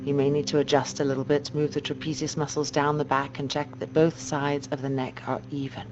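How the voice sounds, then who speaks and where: A woman yoga teacher guiding a meditation in a slow, soft, calming and measured way. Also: low-pitched. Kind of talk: guided meditation instruction. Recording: dull muffled recording with noise and hum.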